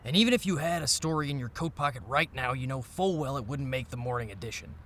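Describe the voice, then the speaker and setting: An actor playing a weary, gruff but caring newspaperman in a noir audio drama, dry and reluctant. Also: mid-range voice, fast, a bit bright, lightly acted. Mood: weary, irritated. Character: tired, gruff but caring male newspaperman, old friend. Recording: clear background noise of ambience effects.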